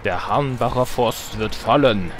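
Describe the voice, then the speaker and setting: A man's voice: mid-range voice, unhurried, slight background noise. A young adult man doing casual let's play commentary, chatty and thinking aloud as he goes. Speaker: let's player. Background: game music.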